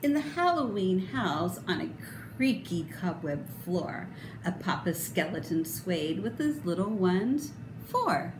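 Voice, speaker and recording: Middle-aged female storybook reader, sing-song and very animated, unhurried. Roomy, noisy indoor recording. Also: on the low side. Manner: theatrical, emphatic, sing-song delivery. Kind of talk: expressive children's read-aloud. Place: indoor room. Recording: roomy echo, clear background noise.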